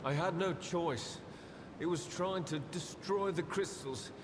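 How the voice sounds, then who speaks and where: A man acting a fervent, obsessed young acolyte in a video game, speaking with dreamy, halting reverence. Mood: defiant fervour. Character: obsessed young acolyte, crystal-mad killer, fervent and haunted. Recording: long cavernous reverb, loud rumbling noise.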